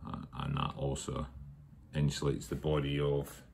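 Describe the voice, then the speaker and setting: A man's voice: very deep voice, monotone, creaky, a little mellow. A man giving an informal hands-on tutorial, hesitant and thinking aloud as he works. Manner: unhurried, hesitant, thinking aloud while working. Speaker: hobbyist presenter of a workshop how-to. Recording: noticeable background noise while working.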